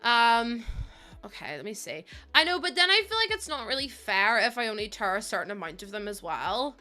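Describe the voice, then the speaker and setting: A woman's voice: on the high side, big pitch swings, fairly quick, pushed and bright, faint music behind. A young woman with a Northern Irish accent chatting casually while live-streaming, rambling and self-mocking with lots of fillers.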